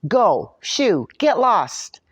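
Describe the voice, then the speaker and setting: A woman's voice: mid-range voice, big pitch swings, very slow, breathy edge, dry recording, voice pushed up, heavily acted. A woman voicing a grumpy, boastful little old male leprechaun shoemaker from a storybook, feisty and indignant. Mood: indignant shouting. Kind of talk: storybook character narration.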